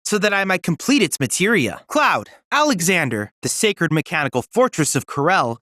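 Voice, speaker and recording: A man's voice: fairly high pitch, very animated, normal speed, bright, forceful tone, dry recording, studio quality. A young man playing an earnest, scholarly android boy research assistant in a video game, speaking with formal, precise, courteous diction. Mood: encouraging, formal. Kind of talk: scripted video game dialogue.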